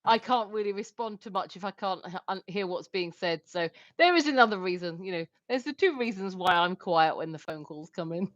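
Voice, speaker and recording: Lively woman co-host, dull dry sound. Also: medium pitch, a little fast, hardly any pauses, no echo. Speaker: co-host of a live stream. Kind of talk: casual live-stream chat. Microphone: earpiece.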